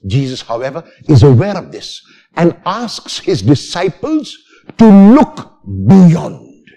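Older priest preaching slowly with lively pitch. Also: male voice. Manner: animated preaching with sweeping arm gestures. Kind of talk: homily. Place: church sanctuary at a lectern, indoors.

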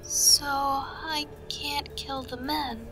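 A woman's voice: high voice, fairly even intonation, drawn-out words, sharp tone, soft background music, heavily acted. A woman voicing a naive, eager, stubborn young girl in an audio drama, innocent and earnest. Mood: eager, curious.

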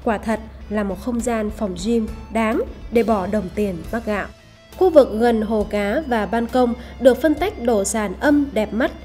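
High, mellow female promo narrator. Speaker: voice-over narrator for a gym promotional video. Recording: voice over background music. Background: music.

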